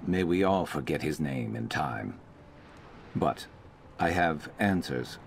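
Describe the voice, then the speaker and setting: A man playing a wry, gruff reptilian adventurer companion in a video game, with a gravelly, dry, deadpan grumble. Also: very deep voice, takes it slowly, a little hiss or hum, full character voice. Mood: reflective, loyal.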